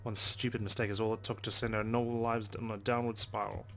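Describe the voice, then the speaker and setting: Low-voiced man reading a game story aloud. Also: flat delivery, fairly quick, a bit bright. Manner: dramatic read-aloud with brief casual asides. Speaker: let's play host. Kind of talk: reading visual novel narration aloud. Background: game background music.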